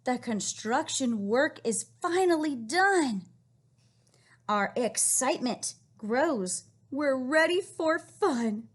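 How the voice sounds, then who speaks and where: A woman reading a picture book aloud to young children, encouraging and questioning them in an animated way with a husky, breathy voice. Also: high-pitched, slow. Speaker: read-aloud storyteller teaching young children.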